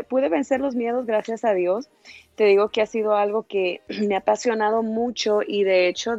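A woman's voice: mid-range voice, a little mellow, no room sound, highs cut off. A woman in her thirties, born in El Salvador, a singer answering interview questions in a chatty way and laughing often. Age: 30s. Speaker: singer, guest.

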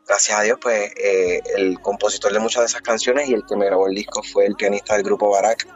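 Puerto rican man, 20s, devout gospel singer guest, low voice, dull lo-fi call sound. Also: steady tone, soft background music. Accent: Puerto Rican Spanish. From Puerto Rico. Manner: earnest, devout, many pauses and fillers. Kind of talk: remote interview.

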